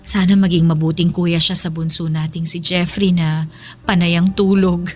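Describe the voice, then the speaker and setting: A woman's voice: on the low side, little pitch movement, moderate pace, darkish tone, lightly acted. Woman acting as a loving young mom in a radio drama, tender n emotional, music behind. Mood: warm, grateful. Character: loving, grateful young mother and wife.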